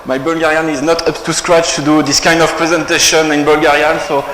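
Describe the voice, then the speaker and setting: A man's voice: fairly high pitch, level tone, brisk, a bit bright. A young man from France with a French accent, giving a technical conference talk with many fillers and restarts. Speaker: conference speaker. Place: conference hall. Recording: echoey hall, faint room noise.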